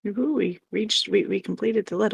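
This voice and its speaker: A woman chatting informally as she plays a game on a livestream. Her voice has a good deal of creak and fry, and her banter is playful. A faint noise sits in the background. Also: medium pitch, fairly quick. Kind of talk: casual chat while playing a word game.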